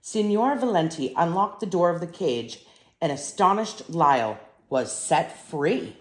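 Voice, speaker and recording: An older woman reading a children's storybook aloud as a grandmother would, in an expressive, emphatic way. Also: on the low side, unhurried, echoey room.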